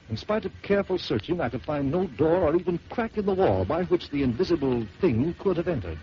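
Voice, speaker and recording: This is a man narrating a ghost story on an old radio program, his voice sitting a bit high and swinging widely in pitch as he builds the suspense. He reads in a measured, dramatic manner, like a classic on-air storyteller. The sound is muffled and lo-fi, with steady hiss from the aging broadcast. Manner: measured, theatrical dramatic reading. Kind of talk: 1940s radio story reading.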